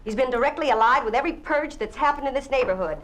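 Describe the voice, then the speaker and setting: A woman's voice: high-pitched, animated, fast. An actress playing an outspoken, bitter woman in a 1951 radio drama, with cutting, sarcastic retorts. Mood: accusing. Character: defiant outspoken woman Jane, bitter and sharp-tongued. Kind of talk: 1950s radio drama acting. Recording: old radio broadcast, slightly dull.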